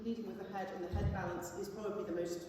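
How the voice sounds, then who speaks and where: A woman's voice: mid-range voice, fairly even intonation, dark tone. Woman presenting in a very echoey hall. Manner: earnest, explanatory, somewhat halting. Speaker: cellist and conservatoire teacher presenting. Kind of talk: conference presentation. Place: large indoor conference hall. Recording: echoey hall from far off, faint noise.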